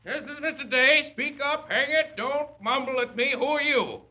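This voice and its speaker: A man's voice: very high-pitched, moderate pace, pushed and bright, exaggerated voice. An actor playing a domineering, exasperated father in a blustery, emphatic 1930s radio comedy style. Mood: exasperated, blustering. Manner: blustery, sputtering, emphatic, old-fashioned mild cursing. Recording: muffled vintage 1930s radio recording.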